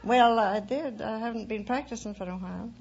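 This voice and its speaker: A woman's voice: on the high side, brisk, vocal fry, darkish tone, husky. A middle-aged American woman chatting casually as a quiz show contestant. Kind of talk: casual interview chat. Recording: muffled, hissy vintage radio broadcast.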